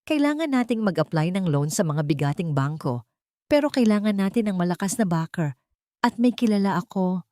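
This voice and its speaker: A woman's voice: mid-range voice, expressive, moderate pace, warm tone, no room sound, pitched above the performer's own voice, lightly acted. A woman plays a domineering older mother-in-law in a storybook drama. She is greedy and scheming, and she speaks with commanding insistence. The recording is dry and close. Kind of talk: audio storybook drama dialogue.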